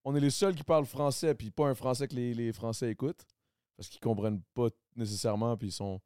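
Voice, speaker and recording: Host: Québécois man, teasing and slangy, mid-pitched voice, dry close mic. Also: steady tone, a little fast, mellow, smooth, dry recording. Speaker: podcast host. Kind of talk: casual podcast chat.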